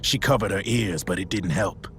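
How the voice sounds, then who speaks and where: A gruff soldier telling a grim tale. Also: male voice, normal speed, a bit bright, a little hiss or hum. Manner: low, gravelly storytelling, matter-of-fact. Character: gruff middle-aged male soldier recounting a grim tale. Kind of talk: video game cutscene acting.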